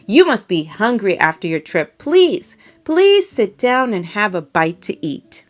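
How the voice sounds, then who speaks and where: A woman's voice: medium pitch, big pitch swings, unhurried. A woman voicing a humble, polite male country mouse in a children's storybook, courteous and earnest. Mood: welcoming.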